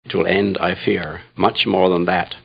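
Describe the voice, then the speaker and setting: Gravelly, troubled starship priest. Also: male voice, low voice, level tone, lo-fi sound, exaggerated voice. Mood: wry sorrow. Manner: solemn, measured, reflective. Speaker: dramatic narrator. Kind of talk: first-person radio drama monologue.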